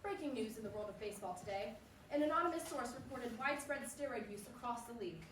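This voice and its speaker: A woman in a staged play reading playing an excitable baseball commentator and pressing news reporter. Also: fairly high pitch, quick pace. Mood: urgent. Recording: echoey hall, distant mic, faint noise.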